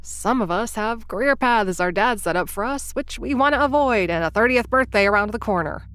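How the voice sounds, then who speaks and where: A woman's voice: fairly high pitch, lively intonation, a little fast, a bit bright, clean tone, dry recording. A woman narrating and playing a wry, anxious would-be influencer close to thirty, full of rambling self-deprecating asides. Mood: indignant. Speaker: narrator and protagonist. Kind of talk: first-person audio drama narration.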